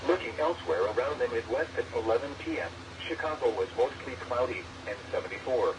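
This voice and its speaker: A synthetic male text-to-speech voice reading scripted weather announcements over the radio in a formal, measured, segmented way. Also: mid-range voice, lively intonation. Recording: noisy weather radio picked up by microphone.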